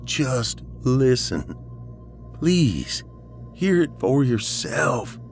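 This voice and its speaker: A man's voice: medium pitch, very animated, very slow, gravelly, soft background music, pitched above the performer's own voice, exaggerated voice. A man playing a distraught, paranoid friend in a horror story, speaking in an intense, insistent and pleading way. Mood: urgent pleading. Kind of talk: audiobook character dialogue, horror story.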